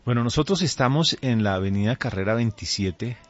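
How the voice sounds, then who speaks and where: A middle-aged man with a Colombian accent, speaking as a pastor in a radio interview in a deliberate, earnest way. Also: mid-range voice, expressive. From Colombia, raised there from age two. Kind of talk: radio interview, conversational testimony. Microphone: studio microphone. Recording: dull, band-limited radio audio.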